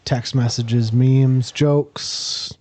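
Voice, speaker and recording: A man's voice: mid-range voice, steady tone, extremely slow, mellow. A man hosting a podcast, reading the intro with many hesitant fillers. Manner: hesitant, many uhs and restarts. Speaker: podcast host. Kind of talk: casual chat, running the intro.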